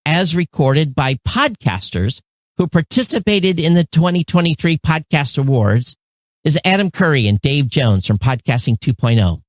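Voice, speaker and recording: A man's voice: on the high side, no room sound. Man formally announcing awards, dry sound.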